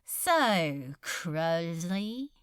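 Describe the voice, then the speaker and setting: Woman voicing a slow, taunting male demon lord. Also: lowish voice, big pitch swings, a bit bright, smooth, no room sound, clean studio sound, exaggerated voice. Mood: taunting, casual.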